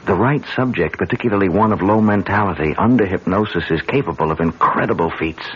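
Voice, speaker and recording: An actor playing an educated, skeptical husband with erudite, emphatic phrasing in a 1970s-style radio drama. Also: male voice, sits low, a little fast, husky. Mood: earnest, skeptical. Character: educated, skeptical husband, an academic studying the paranormal. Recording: dull, noisy old radio broadcast.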